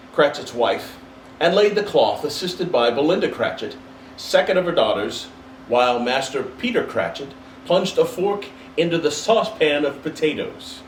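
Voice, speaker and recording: A man reading a classic story aloud as narrator, in an expressive storybook style. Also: on the high side. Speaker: story reader. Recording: roomy, distant mic, faint hiss.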